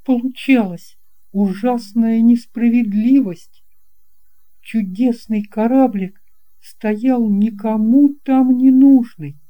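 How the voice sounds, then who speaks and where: A woman reading a children's story expressively, with deliberate phrasing and dramatic pauses. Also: fairly high pitch, dull audio. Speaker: audiobook reader.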